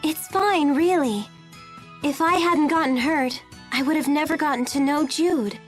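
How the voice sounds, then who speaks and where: A woman's voice: high voice, measured pace. An actress playing a perky, earnest, easily flustered teenage girl in a video game. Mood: cheerful, earnest. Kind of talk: English video game voice acting. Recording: light music behind the voice.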